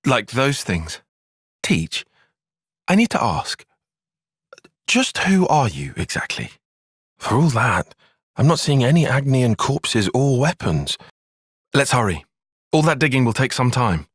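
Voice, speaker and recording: Game cutscene role: earnest young male tactician, bookish and analytical, animated intonation, slightly husky, dry close sound. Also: mid-range voice, no room sound, exaggerated voice. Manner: measured, formal, analytical phrasing. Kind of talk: video game cutscene voice acting.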